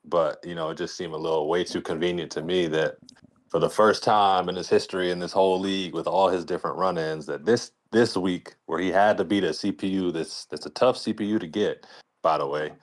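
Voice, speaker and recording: A man's voice: low-pitched, steady tone, brisk. A man talking casually as a league commissioner on a podcast, in a colloquial, rambling way peppered with "you know".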